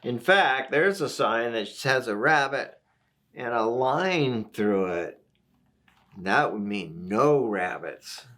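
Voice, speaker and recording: Slow-paced man reading a children's picture book. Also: medium pitch, animated. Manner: gentle, explanatory, asks the listener questions. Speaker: volunteer story reader. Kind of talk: read-aloud children's picture book with asides to young listeners.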